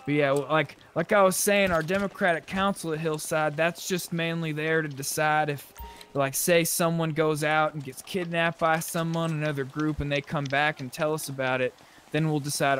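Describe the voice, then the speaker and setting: Man, slightly high-pitched, casual roleplay chat, game voice chat, faint background noise. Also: fairly even intonation, moderate pace, fairly bright tone.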